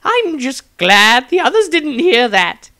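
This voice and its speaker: A man's voice: very high voice, sing-song, slow, bright, forceful tone, no room sound, clean studio sound, pitched above the performer's own voice, exaggerated voice. A man voicing a nervous, flustered wizard with a melodramatic, fretful manner in a fantasy story. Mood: panicked. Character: flustered, nervous, theatrical male wizard diarist.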